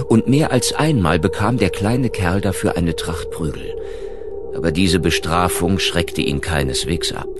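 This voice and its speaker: A man narrating a scripted horror audiobook in a measured, articulate storytelling manner. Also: low-pitched. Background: background music.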